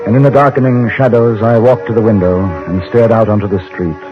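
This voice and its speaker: An actor in an old-time radio drama playing a courteous frontier newspaper correspondent, narrating and talking in a measured, literary, polite way. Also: male voice, fairly low pitch, steady tone, close to an everyday voice. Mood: reflective. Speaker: narrator and lead character. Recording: muffled old radio recording with loud music.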